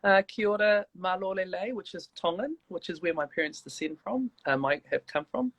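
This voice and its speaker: A woman from New Zealand with a New Zealand accent, chatting as an interview guest on a video call, warm and rambling with many restarts. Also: on the low side, normal speed. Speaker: guest, church leader. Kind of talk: casual interview chat.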